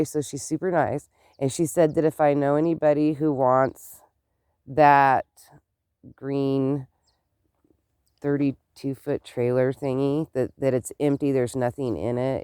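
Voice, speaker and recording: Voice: mid-range voice, warm tone, clean tone, dry recording, studio quality. A vlogger with a stuffed-up nose and clogged ears, chatting casually and rambling to the camera, outdoors on a rural property. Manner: chatty, meandering, exclaims often.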